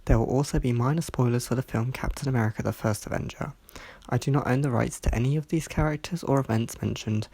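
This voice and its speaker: Stuffy, gravelly-voiced video reviewer. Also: mid-range voice, a little mellow, dry recording, rough recording. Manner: measured, reads from a script with small slips. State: stuffy from hay fever. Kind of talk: scripted review narration.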